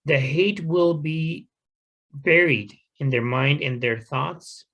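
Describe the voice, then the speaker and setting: A man giving an unscripted informational talk to a community group in an earnest, measured, explanatory way. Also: fairly high pitch, a bit bright, low-quality recording. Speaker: guest speaker at a community talk.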